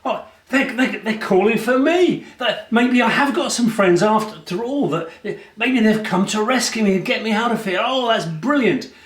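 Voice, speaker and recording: Pleading prisoner, high and whimpering, man doing the voice, roomy distant sound. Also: a little fast, few pauses, fairly bright tone. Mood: hopeful. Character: remorseful male prisoner, whiny and pleading.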